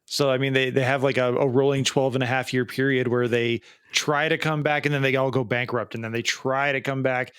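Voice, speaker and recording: A man's voice: mid-range voice, little pitch movement, a little fast, hardly any pauses, fairly bright tone, smooth, no echo, clean studio sound. Joking Midwestern man in his late thirties. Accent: American Midwestern. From American Midwest. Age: late 30s. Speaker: podcast co-host. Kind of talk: casual comedic chat.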